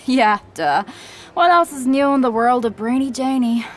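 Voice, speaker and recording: A woman's voice: high-pitched, animated, moderate pace, slight background noise. A woman playing a breezy, gossipy, dismissive teenage girl in a radio drama.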